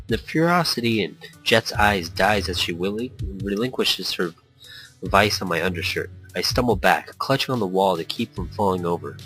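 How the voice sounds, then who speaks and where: A man's voice: lowish voice, a bit bright, poor audio. A man reading a visual novel aloud as a let's-play host, stumbling over words and adding off-the-cuff commentary.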